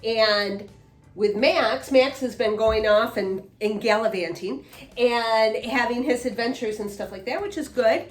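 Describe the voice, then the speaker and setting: A woman's voice: on the high side, a little slow. A woman in her fifties co-hosting a casual chat, rambling with many restarts and fillers. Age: 50s. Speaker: co-host, mother. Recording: echoey room, faint music.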